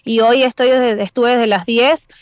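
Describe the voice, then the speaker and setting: A woman's voice: fairly high pitch, fairly even intonation, measured pace, highs cut off. A woman with a Venezuelan accent giving earnest, wordy spontaneous answers as a radio interview guest. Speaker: interview guest, donation drive volunteer.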